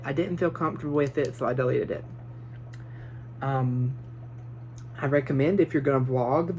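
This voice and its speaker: Nervous guy rambling tips into his phone in his room, fillers everywhere, faint hum. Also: medium pitch. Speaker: vlogger. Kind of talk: casual advice vlog. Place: small bedroom. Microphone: phone built-in mic, no microphone.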